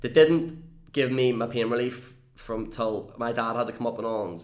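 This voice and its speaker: A man's voice: medium pitch, fairly even intonation, normal speed, roomy sound. A young man with a Northern Irish accent, a YouTuber chatting casually and telling stories as an interview guest. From Northern Ireland. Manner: chatty, storytelling, swears casually.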